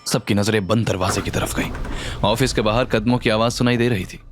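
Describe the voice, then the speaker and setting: A man's voice: lowish voice, steady tone, a little fast, noisy background. A man narrating a scripted audio story in a dramatic style.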